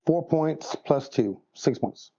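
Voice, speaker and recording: Gravelly, animated man playing a tabletop game. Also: on the high side, takes it slowly, no room sound, highs cut off. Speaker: player. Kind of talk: casual tabletop game play.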